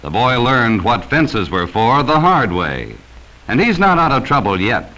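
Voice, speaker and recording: A man's voice: mid-range voice, big pitch swings, measured pace, bright, forceful tone, low-quality recording. An American man narrating a 1940s educational safety film from a script, speaking sternly and cautioning children directly, on a vintage film soundtrack.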